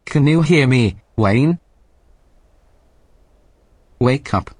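An actor playing a calm artificial intelligence guide in an audio drama, speaking in a measured, matter-of-fact, commanding way. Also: male voice, mid-range voice, husky, no room sound, clean studio sound, heavily acted. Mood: urgent, insistent.

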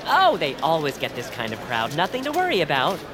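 Eager, fussy little storybook creature, wildly swooping. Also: female voice, sits low, brisk, a crowd in the background. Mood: confident, reassuring. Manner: earnest, prim and excitable. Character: eager, fussy, optimistic little peepkin radio fan. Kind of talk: audio fiction acting for children.

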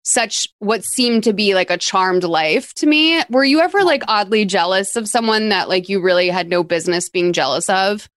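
A woman's voice: mid-range voice, lively intonation, moderate pace, few pauses, fairly bright tone, no echo, studio quality. A woman from Massachusetts hosting a podcast, recapping a film in a chatty, rambling way with plenty of "like.". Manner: chatty, rambling, lots of "like" fillers. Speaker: podcast host. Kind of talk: casual chat recapping a film.

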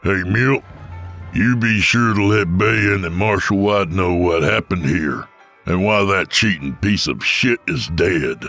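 A man voicing a brutal old-west gunslinger with a gravelly, threatening voice. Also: sits low, slow pace, a bit bright, faint music behind, heavily acted. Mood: commanding.

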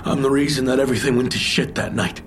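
Casting: actor for wounded, remorseful man, mid-range voice, halting confessional delivery, audio drama with prominent score. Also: medium pitch, close to an everyday voice. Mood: intense, remorseful. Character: Diego, guilt-ridden grieving man confessing, bitter, wounded. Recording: music playing under the voice.

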